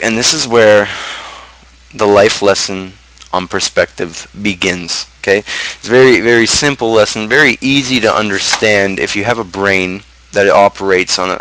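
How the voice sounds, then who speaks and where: A man's voice: on the low side, moderate pace, fairly bright tone, poor audio. A man with a North American accent hosting a casual livestream talk show, rambling in blunt, slangy, crude language. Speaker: host of a gaming channel's talk show. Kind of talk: casual livestream chat, book review.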